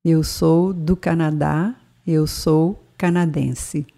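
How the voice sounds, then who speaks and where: A woman's voice: sits low, fairly even intonation, takes it slowly, gravelly, mellow. A woman narrating a scripted bilingual language lesson, speaking carefully and spelling out words. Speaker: language teacher.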